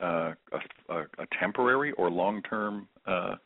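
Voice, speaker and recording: A man's voice: low voice, unhurried, gravelly, no room sound. A man hosting a call-in discussion, chatty, with lots of fillers and restarts. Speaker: host of a call-in webinar. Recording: phone-line audio.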